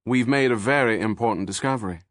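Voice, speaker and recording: A man voicing a refined male agent who speaks in a measured, formal way. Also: mid-range voice, a bit bright, no room sound, studio quality, close to an everyday voice. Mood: calm.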